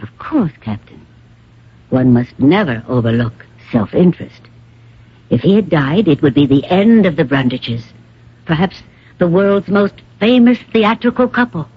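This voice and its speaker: An actress playing an elderly, poised and theatrical stage star with a condescending manner in a radio drama. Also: female voice, low voice, sing-song, unhurried, husky, full character voice. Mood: wry, poised. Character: elderly stage actress, poised, grandly self-possessed wife. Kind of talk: 1970s-80s radio drama acting. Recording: dull lo-fi old radio recording.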